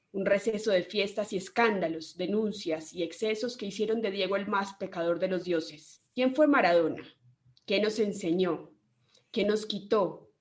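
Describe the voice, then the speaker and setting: A woman hosting a podcast, reading a reflective scripted opening and then putting questions to her guests. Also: mid-range voice. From Colombia. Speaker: podcast host.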